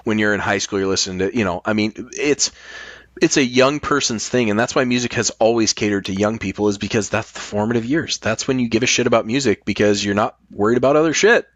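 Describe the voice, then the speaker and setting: A man's voice: fairly low pitch, fast talker, fairly bright tone, dry recording. A middle-aged American man in casual, opinionated chat, making his points emphatically and swearing freely.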